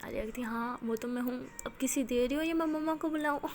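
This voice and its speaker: Brief: woman reading a teasing, affectionate young woman, higher-pitched, faint background noise. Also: a little mellow, lightly acted.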